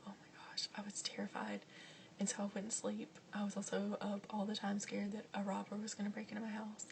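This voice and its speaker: A woman's voice: mid-range voice, creaky. Young woman whispering asmr style, chatty, mic a bit far off, faint hiss. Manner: soft whispering, chatty, many ums and likes. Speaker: vlogger answering questions.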